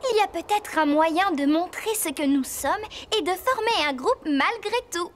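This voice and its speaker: A woman voicing a thoughtful, good-natured girl rider in a French animated series, speaking earnestly. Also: extremely high pitch, normal speed, slight background noise.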